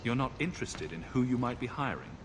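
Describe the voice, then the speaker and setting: A man's voice: medium pitch, sing-song, fast talker, long reverb tail, natural voice. A man playing a wry, skeptical adventurer for hire in a fantasy video game, terse and challenging.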